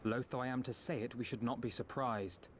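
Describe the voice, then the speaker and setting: An actor playing a measured, erudite male strategist in a video game, formal, precise and composed. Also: mid-range voice, fairly even intonation, close to an everyday voice. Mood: grave. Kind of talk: dramatic video game voice acting. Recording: faint background noise.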